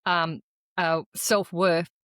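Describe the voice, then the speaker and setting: Low-voiced woman, earnest storyteller. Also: flat delivery, a bit bright, smooth, no room sound, clean studio sound. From Australia. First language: Croatian. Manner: earnest, reflective personal storytelling with halting restarts. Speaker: podcast co-host. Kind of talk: casual conversational podcast chat.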